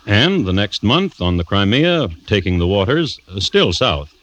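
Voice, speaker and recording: An actor playing a wry storyteller, narrating a classic radio drama in scripted style. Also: male voice, fairly low pitch, big pitch swings, measured pace, a bit bright. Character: wry, unhurried male storyteller of a dark tale. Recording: dull old radio recording. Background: music.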